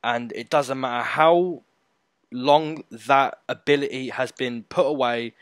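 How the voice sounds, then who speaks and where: A man co-hosting a fight prediction show, giving emphatic, animated opinions in casual chat. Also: medium pitch, unhurried, no echo, clean studio sound. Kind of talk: casual betting breakdown chat.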